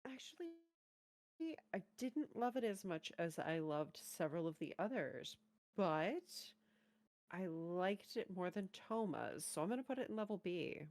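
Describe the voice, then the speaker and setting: A woman's voice: on the low side, lively intonation, moderate pace, darkish tone. A woman co-hosting a reaction show with casual, conversational commentary.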